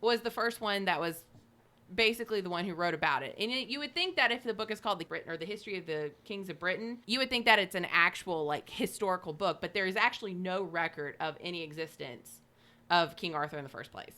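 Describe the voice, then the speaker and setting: Bright-voiced woman reading notes conversationally. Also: fairly low pitch, animated, fast. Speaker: podcast co-host. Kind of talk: reading notes aloud with casual asides.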